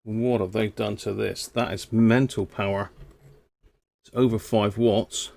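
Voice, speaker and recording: A man's voice: lowish voice, fairly even intonation, a little slow, gravelly, a little mellow. A man hosting a live stream, muttering to himself as he repairs an old radio. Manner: muttering to himself while tinkering. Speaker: radio hobbyist host.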